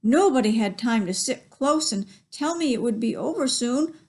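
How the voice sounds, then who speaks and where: A woman's voice: a little high, lively intonation, normal speed, darkish tone. A woman reading a children's story expressively, with chatty asides to young listeners about the pictures. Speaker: library storytime reader. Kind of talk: picture-book read-aloud with chatty asides.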